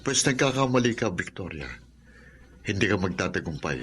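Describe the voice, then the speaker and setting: A man's voice: deep voice, sing-song, normal speed, a bit bright, a little hiss or hum. An actor playing an earnest, steadfast, God-fearing father in a sincere Filipino radio drama style. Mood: firm, indignant.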